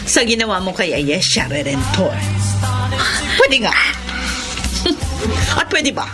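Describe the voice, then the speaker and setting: Casting: a cruel mocking woman, singsong, slow drawl, over music. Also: medium pitch, sing-song. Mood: taunting. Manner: sneering, scornful. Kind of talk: broadcast radio drama acting.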